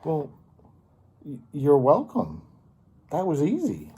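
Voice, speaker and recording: A man's voice: on the high side, big pitch swings, slow pace, vocal fry, warm tone, a little hiss or hum, pitched above the performer's own voice, exaggerated voice. A man voicing an innocent, earnest young crayon in a children's storybook, speaking hesitantly. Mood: surprised, relieved. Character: Red, confused earnest young crayon who discovers he's blue.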